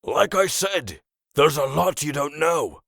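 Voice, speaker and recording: A man playing a sly, knowing old vagrant with a raspy voice and a folksy, condescending manner in a comic dub. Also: a little high, expressive, slow pace, fairly bright tone, no room sound, studio quality, heavily acted. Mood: amused.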